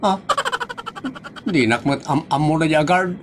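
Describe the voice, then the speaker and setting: Brash Ilocano actor as a shameless son, high-pitched. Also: male voice, unhurried, background noise, lightly acted. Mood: indignant. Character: brash, shameless grown son bickering with his mother. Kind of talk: Ilocano comedy audio drama acting.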